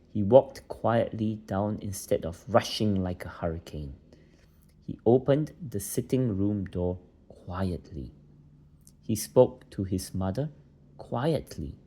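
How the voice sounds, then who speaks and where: A man's voice: on the low side, mellow, husky. A man reading a children's bedtime story aloud as a narrator.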